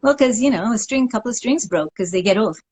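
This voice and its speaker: A woman's voice: fairly high pitch, expressive, fast talker, darkish tone, slightly rough. A woman in her sixties from Montreal, a guest speaking in a wry, thoughtful way in a casual interview over a video call from her living room. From Montreal, Canada. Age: 60s.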